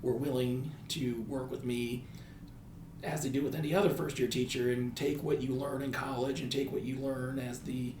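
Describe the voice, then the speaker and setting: A man's voice: mid-range voice, little pitch movement, normal speed, darkish tone. An American man in his thirties talking thoughtfully in a podcast interview as a school principal, often rephrasing as he goes. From United States. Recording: echoey room with faint background noise.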